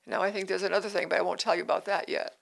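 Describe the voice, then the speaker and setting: A woman's voice: medium pitch, level tone, very fast, vocal fry. A woman in her eighties, hosting a devotional podcast in an earnest, repetitive way with frequent restarts. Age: 80s. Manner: earnest, repeats phrases, restarts sentences. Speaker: podcast host.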